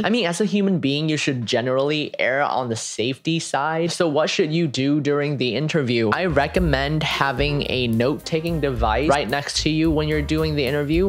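A young man with an American accent talks to camera at a desk in a small room at home. His voice sits at a middling pitch, and he gives tips in a friendly, emphatic, upbeat way. Light guitar music plays behind him. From United States (California). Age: young adult. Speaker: vlogger. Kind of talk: scripted advice to camera, conversational.

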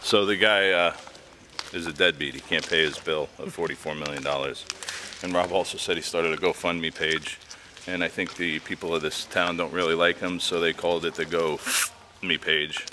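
A man's voice: low voice, fairly even intonation, normal speed, background noise. A man hosting an exploration vlog, chatting casually on a handheld camera inside a large derelict building. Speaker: host of urban exploration vlog. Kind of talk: casual chat while exploring. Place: inside a large dark abandoned industrial building.

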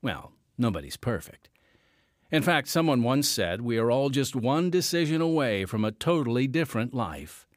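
A man's voice: medium pitch, sing-song, moderate pace, no echo, studio quality. A man delivering dramatic scripted narration as the announcer of a radio drama. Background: music.

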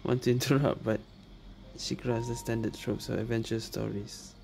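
A woman's voice: very deep voice, level tone, normal speed, vocal fry, background noise. A woman giving casual, amused gameplay commentary as a game streamer. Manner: amused, often laughing and echoing game lines. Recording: game music behind the voice.

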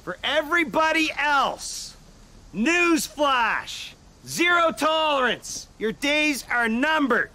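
Pompous park supervisor, blustery, high bright voice, faint outdoor noise. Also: male voice, sing-song, slow pace, heavily acted. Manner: blustery, self-important speechmaking. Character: pompous shirtless new park supervisor, middle-aged man. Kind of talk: mockumentary comedy acting. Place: outdoors at a trailer park picnic.

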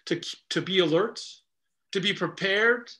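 Bright-voiced man preaching a sermon. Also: high voice. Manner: earnest, measured preaching with repeated phrases. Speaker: pastor. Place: small room before a stone wall, indoors.

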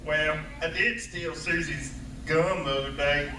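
A man voicing an excitable boy puppet. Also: a little high, a little slow, lightly acted. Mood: excited. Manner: eager, rambling, childlike. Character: excitable boy puppet eager for Christmas presents. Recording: echoey sanctuary, distant camera, noise.

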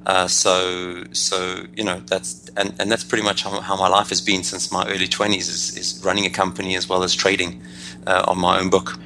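A man's voice: low-pitched, monotone, moderate pace, bright, forceful tone, slight background noise, poor audio. A man in his thirties with a South African accent, answering interview questions analytically with many restarts and fillers. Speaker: guest trader.

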